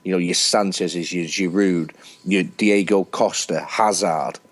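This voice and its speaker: A British man co-hosting a casual football chat, animated as he argues his points and acts out what players might be thinking. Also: sits low, unhurried. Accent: British English. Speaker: co-host.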